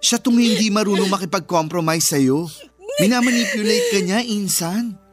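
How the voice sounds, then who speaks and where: A woman's voice: mid-range voice, very animated, moderate pace, over music, close to an everyday voice. A woman with a Filipino accent playing a sassy, blunt, loyal cousin in a radio drama, speaking with slangy emphasis. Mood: indignant, supportive.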